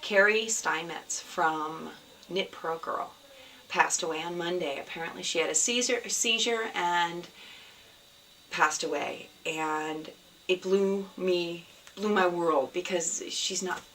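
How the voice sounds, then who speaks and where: Hoarse woman host, scratchy voice. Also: lowish voice, takes it slowly. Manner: rambling, many ums, soft and sad in places. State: hoarse from a cold. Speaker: podcast host. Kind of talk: casual video podcast chat. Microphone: camera. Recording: somewhat distant mic, faint background noise.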